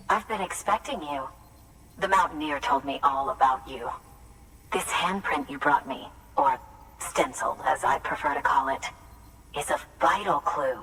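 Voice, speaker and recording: A grandiose, eccentric woman mystic from a video game. She has a very deep voice for a woman and speaks portentously. She sounds a little distant, with faint noise. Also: fairly bright tone, heavily acted. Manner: portentous, theatrical.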